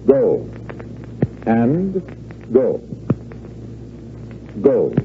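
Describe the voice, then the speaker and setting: An actor playing a confident, unruffled, slightly smug stage magician in an old radio drama. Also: male voice, mid-range voice, huge pitch swings, very slow, full character voice. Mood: smug, teasing. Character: confident, cool-headed male stage magician. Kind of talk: 1940s radio drama acting. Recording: tinny, narrow, noisy old radio recording.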